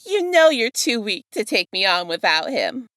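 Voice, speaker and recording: A woman's voice: medium pitch, very animated, normal speed, sharp tone, no echo, pitched above the performer's own voice. A menacing male villain from a fan story, acted out by a woman narrator. The taunts are mocking and stretched out. The recording is dry and free of room sound. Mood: mocking menace.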